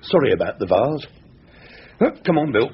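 A man's voice: medium pitch, big pitch swings, normal speed, breathy edge. An actor playing a chatty, blunt but kindly British removal man in a radio drama. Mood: friendly. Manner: blunt, colloquial. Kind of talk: radio thriller acting. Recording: muffled radio recording.

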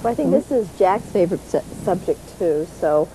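American lady cohost asking questions, darkish relaxed voice, faint rumble in the background. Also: brisk, poor audio. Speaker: talk show co-host. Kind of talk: informal talk show interviewing. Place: community television studio.

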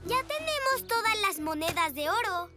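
A woman with a Latin American Spanish accent playing an eager, excitable little boy zebra in a children's cartoon. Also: very high-pitched, normal speed. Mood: eager, excited. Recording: loud children's music behind.

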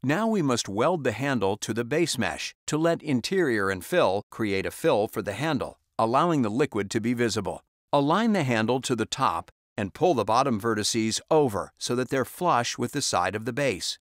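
Male voice-over for a 3D software tutorial, mid-pitched, calm and instructional, dry close recording. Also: moderate pace, few pauses, dry recording.

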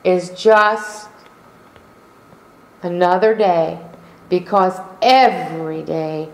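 Slow, emphatic woman preaching in an echoey church. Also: on the low side, a little mellow. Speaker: pastor. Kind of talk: sermon. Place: church sanctuary. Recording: echoey room.

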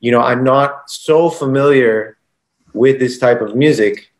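A man's voice: mid-range voice, unhurried. An American man hosting a podcast interview, asking a long, rambling question with many ums. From United States. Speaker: podcast host.

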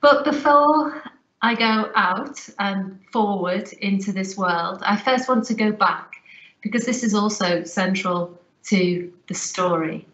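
A woman giving a recorded lecture, speaking in a measured, reflective way over a webcam. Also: mid-range voice, a bit bright. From Liverpool, England. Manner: measured, reflective, deliberate phrasing. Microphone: webcam on a video call. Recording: roomy, slightly dull webcam sound.

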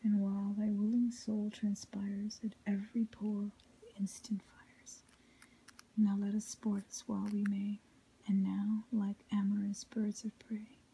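A woman softly reading poetry in a breathy whisper, ASMR style, with a measured, melodic recitation. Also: medium pitch, poor audio. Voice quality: soft unvoiced whisper, breathy.

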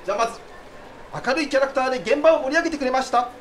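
An actor playing a theatre company staffer making a formal, projecting speech at a cast party in a radio drama. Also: male voice, extremely high pitch, very fast, slight background noise. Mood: announcing, festive.

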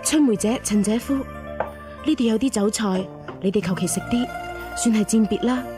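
A woman's voice: fairly high pitch, normal speed, music in the background, lightly acted. A woman acting in a radio drama as a wily, money-minded old matchmaker, chatty and wheedling.

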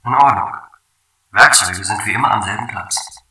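A man voicing a calm, polite station computer in a German radio play, measured, formal and matter-of-fact. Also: on the low side. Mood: helpful. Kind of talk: radio drama acting. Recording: roomy, distant, faint noise.

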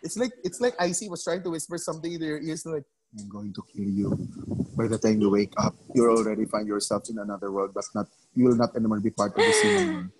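A man's voice: on the low side, big pitch swings, quick pace, slight background noise, rough recording. A man teaching an online English class over a video call, coaxing students to answer and often repeating names and words.

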